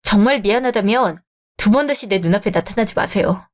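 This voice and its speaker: A woman's voice: medium pitch, expressive, a little fast. A young woman who speaks in a cool, firm and cutting way, playing the heroine of a radio drama. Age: young adult. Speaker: heroine of the story.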